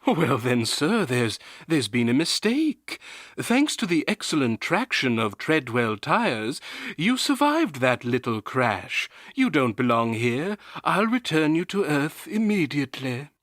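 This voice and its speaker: A man playing a genial, officious Saint Peter who pitches products in an old-time radio commercial, with a stately delivery. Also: sing-song, measured pace, a bit bright, dry recording, studio quality, heavily acted.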